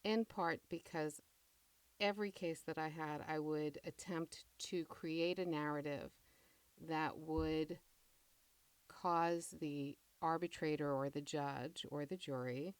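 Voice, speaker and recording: Middle-aged woman playwright in interview: deep, smooth voice, measured and reflective, dry close sound. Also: steady tone, dry recording.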